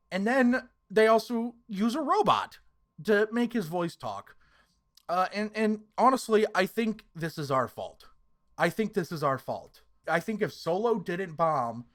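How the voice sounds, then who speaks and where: A man's voice: high-pitched, a bit bright. A man hosting a podcast, giving opinionated casual reviews in an emphatic, sweary way. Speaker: podcast host.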